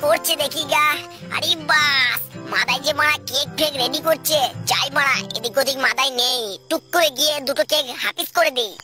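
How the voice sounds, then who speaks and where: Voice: high voice, normal speed, over loud music, natural voice. A performer voicing a cheeky, greedy, foul-mouthed schoolboy with a Bengali accent in a comic cartoon parody dub. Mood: sly, greedy.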